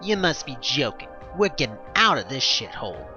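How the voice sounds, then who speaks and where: A man voicing a gruff, grumpy, protective male companion in an audio drama, grumbling and sarcastic with plenty of slang. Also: mid-range voice, sing-song, moderate pace, sharp tone, muffled recording, faint music behind. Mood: sardonic.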